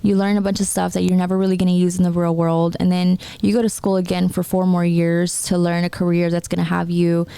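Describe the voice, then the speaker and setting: A woman of twenty-three, raised in the United States, talking casually and haltingly in a personal podcast monologue. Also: lowish voice, flat delivery, gravelly, dark tone, clear, resonant tone, no room sound. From born in Mexico, raised in the United States. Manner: halting, restarts her sentences repeatedly. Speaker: podcast host and entrepreneur.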